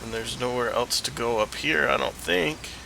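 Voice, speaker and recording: A man's voice: mid-range voice, moderate pace, creaky, noisy background. A man casually commenting while playing a video game, rambling and thinking aloud, with a stuffy nose. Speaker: gameplay streamer. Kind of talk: casual let's-play commentary.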